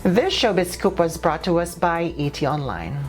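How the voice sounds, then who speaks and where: A woman reports entertainment news from a script. Her voice sits slightly low, with soft music running underneath. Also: very animated, normal speed.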